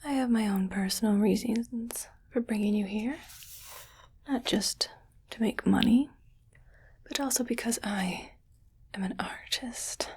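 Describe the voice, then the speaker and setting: A woman's voice: a little high, darkish tone, heavily acted. A woman voicing a smug, wealthy alien collector of human pets in a condescending, whispered ASMR roleplay. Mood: scheming, hushed. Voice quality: breathy whisper. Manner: slow, condescending, coaxing.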